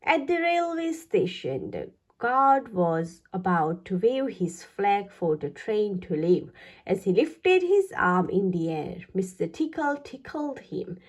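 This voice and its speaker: Slow, lively woman reading a children's story. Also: mid-range voice. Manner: expressive, deliberate phrasing for young listeners. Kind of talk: read-aloud children's storytelling.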